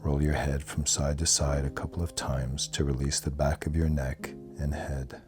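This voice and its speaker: A man leading a guided yoga nidra relaxation in a calm, soothing, measured manner. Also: extremely low pitch. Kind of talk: guided meditation. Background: soft plucked guitar music.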